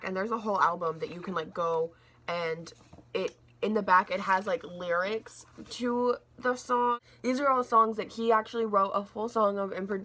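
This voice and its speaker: A young woman reviewing a book in a casual, rambling vlog, her throat a little scratchy and gravelly, with lots of fillers and restarts. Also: mid-range voice, sharp tone. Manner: rambling, says like a lot, restarts often.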